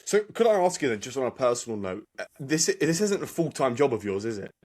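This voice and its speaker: A man's voice: medium pitch, big pitch swings, quick pace, darkish tone. A man hosting a podcast, asking a guest friendly, direct questions. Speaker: podcast host. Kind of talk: interview questions.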